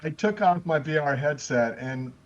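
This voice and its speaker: A man's voice: fairly high pitch, lively intonation, moderate pace. A man in his sixties chatting and rambling as a guest pilot in a casual group chat. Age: 60s. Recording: muffled voice chat line.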